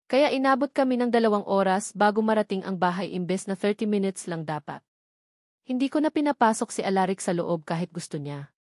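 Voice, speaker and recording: A woman's voice: medium pitch, lively intonation, fairly quick, darkish tone, dry recording, studio quality. A woman reading a first-person romance novel aloud as scripted narration. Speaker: story narrator.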